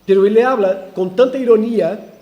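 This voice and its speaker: A man preaching a verse-by-verse sermon in a church, animated, with ironic asides and frequent restarts. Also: sits high, a little fast, highs cut off. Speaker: pastor. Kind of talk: expository sermon.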